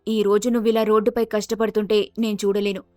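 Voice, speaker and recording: A woman voicing a kindly, motherly character with a coaxing manner in an animated moral story. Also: medium pitch, quick pace, no room sound, soft background music, lightly acted. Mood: caring, coaxing.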